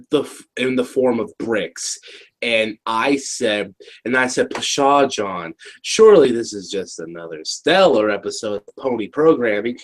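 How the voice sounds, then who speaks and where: A man's voice: medium pitch, rough recording. An American man co-hosting a casual review, talking in a slangy, jokey, exaggerated way. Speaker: review show co-host. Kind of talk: casual joking review chat.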